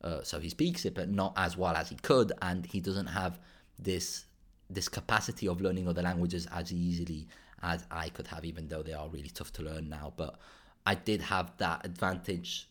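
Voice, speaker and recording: Guy from southern spain doing his own podcast, deep low voice, stops and restarts a lot, keeps saying right?. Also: steady tone. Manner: halting, many restarts and tag questions like "right?". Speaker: podcast host. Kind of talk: casual solo podcast monologue.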